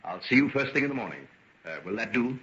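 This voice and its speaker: An actor playing a genteel, evasive gentleman doctor, hesitant, polite and earnest, in an old-time radio drama. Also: medium pitch, very animated, fast. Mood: apologetic. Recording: dull, lo-fi vintage radio recording.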